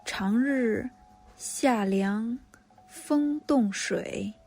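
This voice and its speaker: Very slow, husky woman reciting. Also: a little high, a little mellow, soft background music. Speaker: poem reciter. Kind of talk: slow classical poetry recitation.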